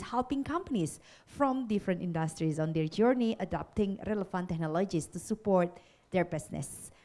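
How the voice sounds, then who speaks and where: A woman's voice: medium pitch, a little mellow. A woman emcee giving a formal stage introduction, reading out a speaker's biography at a conference. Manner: announcing, reads bio aloud. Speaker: event emcee. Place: conference hall.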